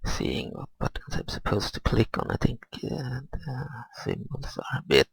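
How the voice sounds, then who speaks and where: Husky, creaky low-voiced man, halting. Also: very animated, no room sound. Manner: hesitant, halting, grumbling. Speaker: gamer recording gameplay commentary. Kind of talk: casual gameplay commentary, thinking aloud.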